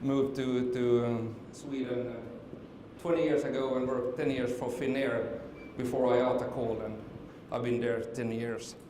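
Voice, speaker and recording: A man's voice: mid-range voice, little pitch movement, a little slow, hardly any pauses, mellow. Finnish man presenting in an echoey hall. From Finland. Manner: hesitant, many fillers and restarts. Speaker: conference speaker. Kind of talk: conference presentation. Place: conference hall. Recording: echoey hall with faint noise.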